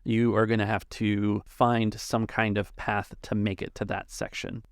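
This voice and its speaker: Game master, male, lowish voice with plenty of fry, narrating and refereeing, dry close sound. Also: flat delivery, unhurried, dry recording.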